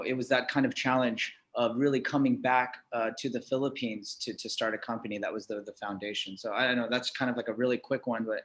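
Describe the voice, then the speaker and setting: A man's voice: mid-range voice, fairly bright tone. American guy on a video call panel, chatty and upbeat, telling his story, doubles up words. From Los Angeles, United States. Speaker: startup entrepreneur, panel guest.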